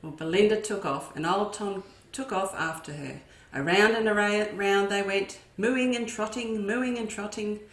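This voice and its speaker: A woman's voice: medium pitch, normal speed, hardly any pauses. A woman, a children's educator, reading a farm story aloud expressively and turning to her young listeners with asides. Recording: echoey room.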